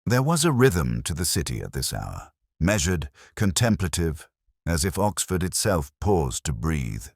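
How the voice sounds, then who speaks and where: Very deep-voiced man narrating a detective story. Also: very animated, no echo, clean studio sound. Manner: measured, literary, contemplative. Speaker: audiobook-style narrator. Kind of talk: scripted first-person detective story narration.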